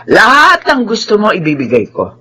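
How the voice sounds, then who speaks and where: A woman playing a domineering, doting old grandmother in a Filipino radio drama, husky and imperious. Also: medium pitch, wildly swooping pitch, moderate pace, pushed and bright, slight background noise. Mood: doting, controlling. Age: older.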